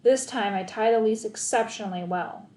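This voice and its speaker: A woman narrating a children's novel aloud in an expressive, storytelling style. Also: on the high side, normal speed, reverberant. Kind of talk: expressive children's audiobook narration, first person.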